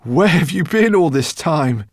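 A man's voice: fairly high pitch, expressive, unhurried, no room sound, studio quality, pitched above the performer's own voice. A man reading an audiobook, voicing a kindly, flustered older male professor.

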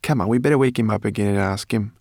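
Man putting on a high, bossy little brownie female. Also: big pitch swings, moderate pace, creaky, no room sound, clean studio sound. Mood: anxious, puzzled. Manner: exclamatory, scolding. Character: small furry female brownie, bossy, cheeky, excitable.

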